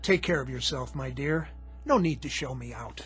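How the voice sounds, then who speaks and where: A man's voice: medium pitch, normal speed, fairly bright tone, faint music behind, natural voice. An actor playing a polite male visitor in a radio drama, making courteous, chatty small talk.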